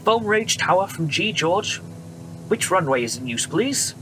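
An actor playing a friendly, assured wartime bomber pilot with a British accent, brisk and reassuring, in a radio drama. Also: male voice, high voice, sing-song, pushed and bright, full character voice. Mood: brisk, businesslike. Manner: brisk, reassuring, polite officer. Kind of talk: period radio drama acting. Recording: noisy aircraft engine background.

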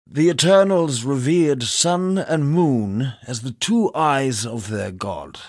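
An actor voicing a male poet ally in a dark fantasy game, speaking with measured, solemn gravity. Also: medium pitch, dry recording, clean studio sound. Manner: measured, grave, solemn delivery. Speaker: game character. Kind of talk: studio game voice acting. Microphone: close studio mic.

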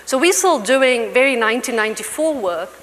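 A woman's voice: a little high, lively intonation, brisk, fairly bright tone. A woman on a conference panel, speaking earnestly and building her points in lists. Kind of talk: panel discussion. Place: large conference hall stage. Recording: long hall reverb, faint noise.

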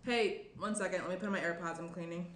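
A woman's voice: lowish voice, fairly even intonation, quick pace, a little hiss or hum, close to an everyday voice. A young woman playing a flustered, distracted character, hesitant and apologetic, in a table read. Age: young adult. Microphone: desk microphone. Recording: roomy indoor echo.

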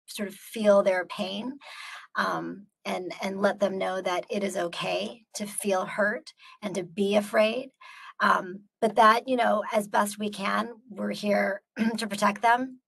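Measured, reflective American woman on a call. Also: mid-range voice, creaky, fairly bright tone, low-quality recording. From United States. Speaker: guest speaker. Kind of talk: earnest talk answering audience questions. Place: indoors on a video call. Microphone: video call.

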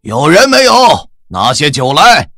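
A gravelly, husky old general in a period audio drama. He is proud and imperious, and his voice swings widely in pitch, commanding even as a weary prisoner. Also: unhurried, dry recording, studio quality, exaggerated voice. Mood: demanding. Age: older. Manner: imperious, calling out.

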